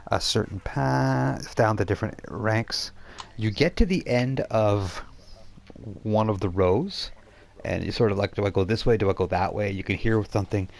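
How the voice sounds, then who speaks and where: A man working as game master, improvising narration for a tabletop role-playing game in a halting, conversational way. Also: lowish voice, vocal fry, a little mellow. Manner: halting, conversational, thinking aloud.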